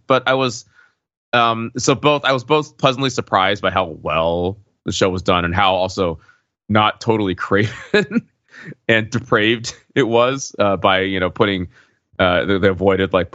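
A man with a slightly low voice speaks casually on a podcast panel, feeling his way haltingly through his thoughts. The sound is very dry and a bit dull. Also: fairly bright tone, no echo. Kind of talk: casual discussion.

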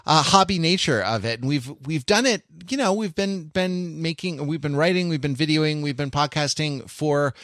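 A man's voice: fairly high pitch, a bit bright, no echo. Guy hosting a chatty podcast, keeps stopping and restarting his sentences, dry close sound. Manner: halting, rambling, self-interrupting. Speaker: podcast host. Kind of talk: casual panel podcast chat.

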